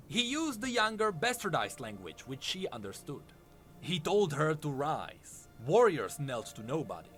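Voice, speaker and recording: Guy narrating game lore like a dramatic story, really animated pitch, bit of music behind. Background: background music.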